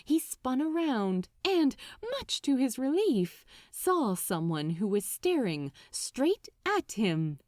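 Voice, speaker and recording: A woman narrating scripted fiction dramatically, with theatrical, deliberate, suspenseful phrasing. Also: fairly high pitch, big pitch swings, darkish tone, no echo, studio quality. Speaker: audiobook narrator.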